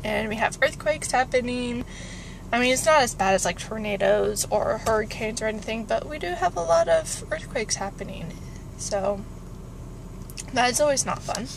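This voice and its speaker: A woman of about twenty-three speaks in a somewhat high voice. She rambles informally, as in a casual vlog. The sound is that of a phone inside a car, with noticeable background noise. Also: expressive, moderate pace, creaky. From California, United States. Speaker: vlogger. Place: inside a parked car with engine warming up. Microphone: phone at arm's length.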